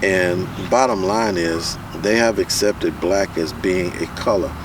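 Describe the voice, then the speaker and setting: A man's voice: deep voice, sing-song, a little slow, vocal fry. A man from the United States, a security professional, speaking emphatically and repeating points for stress as an interview guest. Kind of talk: casual conversational interview. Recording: distant mic with loud street and car noise.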